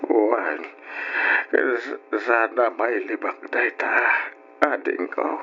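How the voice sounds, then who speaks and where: A man's voice: mid-range voice, slow, sharp tone. An actor playing a guilt-ridden, sorrowful father who pleads in an Ilocano radio drama. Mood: sorrowful, hesitant. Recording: dull, hissy old radio recording.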